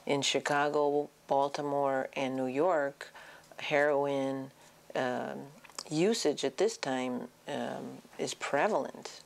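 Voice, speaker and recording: A woman presenting an informal educational medical talk as a doctor, explaining things in a halting way with frequent pauses. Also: deep voice, vocal fry, slight background noise.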